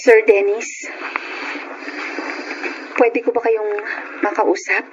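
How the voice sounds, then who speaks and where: A woman's voice: on the high side, little pitch movement, takes it slowly. A woman playing a compassionate young nanny, earnest and pleading, in a Tagalog radio drama. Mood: subdued, earnest. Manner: pleading, respectful, heartfelt. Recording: dull, noisy radio drama audio.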